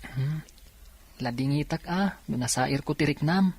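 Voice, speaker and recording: A husky young man from a radio drama, acted by a man. He is kind, earnest and encouraging, and the recording is dry and close. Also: a little high, a little slow, a little mellow, no room sound. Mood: sympathetic. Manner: sympathetic, earnest. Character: kind, encouraging young working male student.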